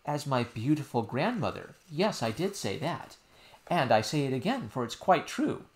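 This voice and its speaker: A man's voice: medium pitch, sing-song, normal speed, close to an everyday voice. A man voicing an upset young girl princess, earnest and proud, in a storybook reading. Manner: earnest, pleading. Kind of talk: audiobook character voice.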